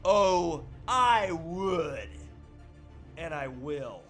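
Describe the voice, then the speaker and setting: A man's voice: a little high, expressive, very slow, fairly bright tone, soft background music, exaggerated voice. A man playing a defiant, indignant everyman husband in a comedic script read, speaking with earnest melodrama.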